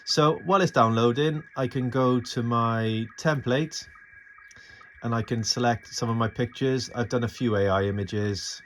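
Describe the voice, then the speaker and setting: A man's voice: medium pitch, a bit bright. A middle-aged man giving a casual, unscripted and enthusiastic tutorial, talking through each step as he works, recorded on a phone. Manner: enthusiastic, informal, narrating as he works. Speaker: amateur radio tutorial presenter. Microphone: phone camera microphone. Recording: noisy amateur phone video recording.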